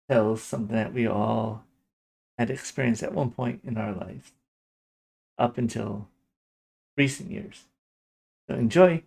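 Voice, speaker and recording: A man's voice: medium pitch, gravelly, a little mellow. A man hosting a channel, giving an informal introduction to a program. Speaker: host of an old-time radio channel.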